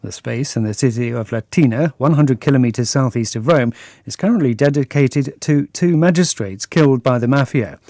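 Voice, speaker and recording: A male newsreader with a dark, mellow tone and a middle pitch works through scripted headlines. His delivery is measured and formal. The sound is close and dry, with no room around it. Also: no room sound. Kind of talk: scripted news reading.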